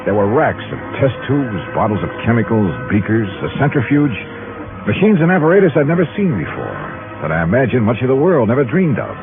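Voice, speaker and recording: An actor playing a sharp, skeptical insurance investigator, dry and conversational, in a 1950s detective radio drama. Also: male voice, medium pitch, big pitch swings, brisk. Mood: brisk, suspicious. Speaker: lead actor and narrator. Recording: muffled 1950s radio recording with music.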